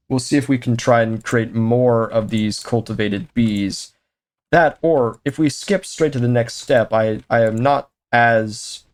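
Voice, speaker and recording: A man giving casual, self-chatting commentary as he plays a video game, thinking aloud with jokey asides and bursts of excitement. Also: mid-range voice. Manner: sudden excited exclamations. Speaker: let's play host.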